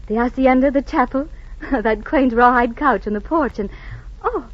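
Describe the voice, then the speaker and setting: A woman's voice: high voice, moderate pace, no room sound. An actress playing a tender-hearted, idealistic lady writer in 1940s radio drama, effusive and sentimental. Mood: effusive, heartfelt. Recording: muffled old radio recording.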